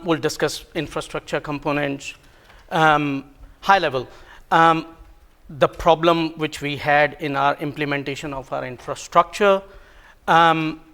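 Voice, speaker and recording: A man whose first language is Hindi, giving a technical talk at a conference, halting with many ums and self-corrections and a bit of self-deprecating humor. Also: a little high, a bit bright, clear, resonant tone. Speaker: conference presenter. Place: conference room.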